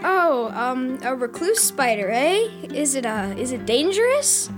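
A high-voiced schoolboy adventurer, acted by a woman in a children's fantasy audio drama. He grumbles and exclaims dramatically, with lots of swing in his pitch. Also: big pitch swings, unhurried, over music. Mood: nervous, alarmed. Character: hungry, whiny, dramatic school-age boy adventurer.

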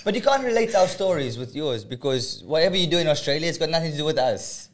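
Joking high-voiced South African man. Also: lively intonation, crowd noise. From South Africa, Johannesburg. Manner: joking, quick banter. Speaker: co-host. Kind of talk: casual chat.

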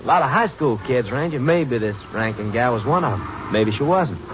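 An actor playing a folksy, talkative bus driver in a 1950s radio drama. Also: male voice, mid-range voice, lively intonation, normal speed, a bit bright, lightly acted. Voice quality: folksy, rambling. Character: chatty, easygoing male bus driver. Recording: dull old radio recording, hissy.

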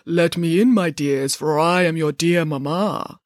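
A woman's voice: low voice, unhurried, no room sound, clean studio sound. A woman voicing a sly, hungry male wolf in a storybook, bossy and coaxing as he poses as a mother. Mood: falsely sweet. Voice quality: gruff, rough voice, sometimes artificially softened. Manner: coaxing, bossy, falsely sweet.

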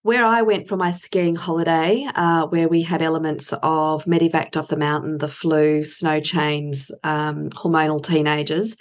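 A middle-aged woman hosting a casual podcast interview, chatty and quick to chime in with agreement. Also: low voice, flat delivery. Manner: chatty, frequent affirming interjections. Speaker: podcast host.

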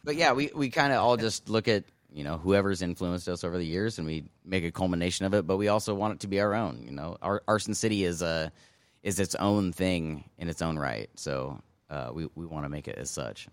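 A man's voice: deep voice, brisk, no room sound. A man in a band, chatting easily and laughing often on a podcast. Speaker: band member. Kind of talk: casual podcast chat.

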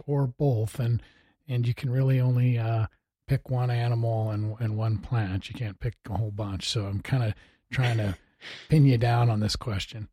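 A man's voice: mid-range voice, warm tone, breathy edge, no room sound, studio quality. An American man hosting a casual interview, with an easygoing, folksy way of asking questions. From Missouri, United States. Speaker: interviewer, host.

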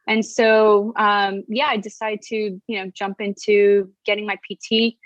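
A woman's voice: medium pitch, level tone, measured pace, no echo. A woman in her thirties from Venezuela, a physical therapist talking through a video call in a chatty way, full of fillers and restarts. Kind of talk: casual video-call interview.

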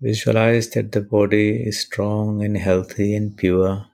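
Very slow, low-pitched man guiding meditation. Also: completely flat delivery, gravelly, darkish tone. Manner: measured, deliberate, with pauses between short phrases. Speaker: meditation guide. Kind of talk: guided meditation with prayer.

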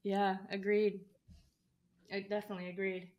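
A woman hosting a podcast interview, chatty and quick to laugh as she asks her guest about himself. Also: mid-range voice, level tone, darkish tone. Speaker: podcast host. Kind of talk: casual interview.

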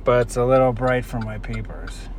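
A forty-year-old man rambling casually and haltingly as he muses aloud while driving. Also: mid-range voice, little pitch movement. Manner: halting, reflective, musing aloud. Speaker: vlogger, musician. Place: inside a moving car. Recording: noisy car interior with road noise.

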